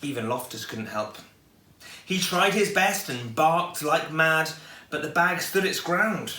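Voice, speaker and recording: A man reading a children's story aloud in an expressive storytelling style. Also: a little high, a little slow. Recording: echoey room, distant microphone.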